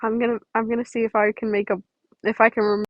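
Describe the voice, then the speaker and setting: Higher-voiced woman reading fan fiction aloud. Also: flat delivery, fast talker, dry recording, dull audio. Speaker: podfic reader. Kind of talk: story narration and casual chat to listeners.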